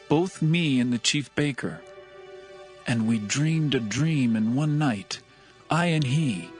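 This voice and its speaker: A man's voice: medium pitch, close to an everyday voice. A man playing a contrite royal servant in a dramatized scripture reading, earnest, formal and deferential. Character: contrite royal servant confessing and recounting the past. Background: soothing background music.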